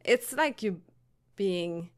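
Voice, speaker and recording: A woman's voice: mid-range voice, lively intonation, takes it slowly, crowd noise. A middle-aged woman from Montreal with a Quebec French accent, a podcast guest chatting casually and hesitantly with many fillers. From Montreal, Canada. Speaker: podcast guest, artist and writer. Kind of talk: casual interview chat.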